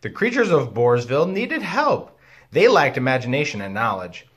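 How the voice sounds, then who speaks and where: A man in his thirties reading a children's storybook aloud as a teacher, with exaggerated, expressive emphasis, recorded indoors at home. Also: mid-range voice, normal speed. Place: living room, indoors.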